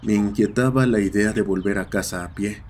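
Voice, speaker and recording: A man's voice: on the low side, fairly quick, a little mellow, a little hiss or hum. A man narrating a scripted horror story. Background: ambient sound effects.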